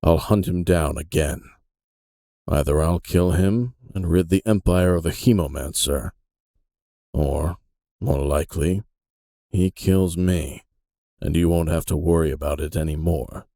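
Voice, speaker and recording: Man acting a deep, gravelly, embittered aging detective. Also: unhurried, long pauses, dark tone, no room sound, clean studio sound. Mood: grim. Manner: grim, embittered, intense. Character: aging, hardened, defiant male keeper detective in a fantasy empire. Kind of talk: fantasy audio drama acting.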